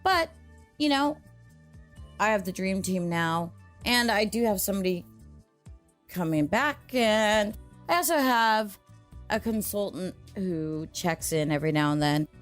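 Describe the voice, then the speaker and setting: A woman host speaks at an unhurried pace in a medium-pitched voice. She chats casually to her audience and drifts loosely from point to point, with music behind her. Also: lively intonation. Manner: chatty, digressive. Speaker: host and story narrator. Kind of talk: casual rambling sign-off chat to listeners.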